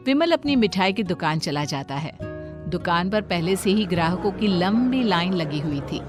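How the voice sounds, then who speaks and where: Low-pitched woman narrating a horror story. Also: animated, moderate pace. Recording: clear music bed behind narration.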